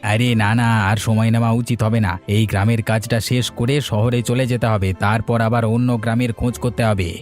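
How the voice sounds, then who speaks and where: A man playing an eager, confident thieving servant who flatters his mistress in a cartoon story. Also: mid-range voice, brisk, faint music behind, natural voice. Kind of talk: dubbed cartoon story acting.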